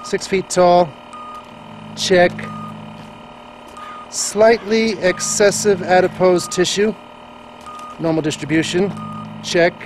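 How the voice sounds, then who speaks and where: Paranoid desert oddball obsessed with aliens, video game. High-set voice, earnest and twitchy. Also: fairly even intonation, slow, pauses often, noisy background. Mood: officious, jittery. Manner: jittery, pseudo-scientific, earnest. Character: eccentric, paranoid male desert UFO conspiracy nut. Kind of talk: video game cutscene dialogue.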